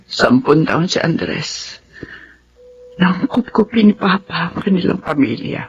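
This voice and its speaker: A woman's voice: on the low side, lively intonation, takes it slowly, lo-fi sound, slight background noise. An actress with a Filipino accent playing a devoted, distraught middle-aged wife and mother in a radio drama. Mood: wistful narration. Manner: emotive, tearful, lingering delivery.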